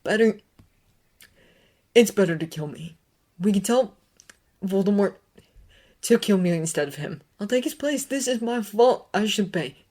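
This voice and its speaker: A woman's voice: fairly low pitch, lively intonation, takes it slowly, long pauses. A woman voicing an anguished, bitter grown man, a brooding teacher, with intense pleading outbursts. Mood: desperate.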